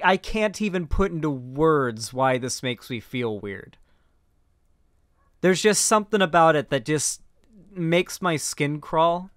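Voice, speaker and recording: A man's voice: high voice, fairly bright tone, clear, resonant tone, no echo. An American man in his twenties from Florida giving casual, opinionated commentary into a close microphone, conversational and blunt. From central West Florida, American South. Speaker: political commentary streamer. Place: small home streaming room, indoors. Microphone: close microphone on boom arm, headphones on.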